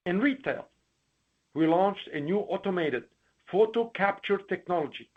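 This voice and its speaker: Slightly muffled, lo-fi call audio carries a man with a husky, fairly high voice. He delivers scripted executive remarks in a formal, read-aloud way. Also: lively intonation, normal speed. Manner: formal, reading prepared remarks with occasional stumbles. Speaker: company chief executive. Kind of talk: scripted earnings call presentation. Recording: dull, lo-fi conference call audio.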